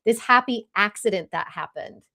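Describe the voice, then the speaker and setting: A woman's voice: mid-range voice, lively intonation, moderate pace, bright, forceful tone. A woman hosting a podcast, chatting casually and enthusiastically with her guest. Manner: enthusiastic, informal. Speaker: podcast host, speaker. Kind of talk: casual interview chat.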